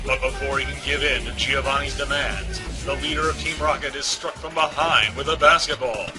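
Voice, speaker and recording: A man's voice: medium pitch, fairly even intonation, moderate pace. A man narrating a comic-book story as an audiobook, reading from a script. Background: music.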